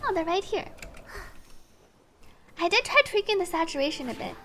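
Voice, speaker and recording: A woman's voice: extremely high pitch, expressive, moderate pace, a bit bright, slight background noise. A woman livestreaming a video game, chatting casually with viewers and reading on-screen text aloud over game music.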